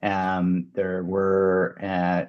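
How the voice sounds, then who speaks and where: A man in his fifties whose first language is French, speaking as a guest in a casual interview in a measured way, with frequent ums and restarts. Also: sits low, monotone, smooth, dull audio. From Canada. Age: 50s. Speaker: guest, company founder.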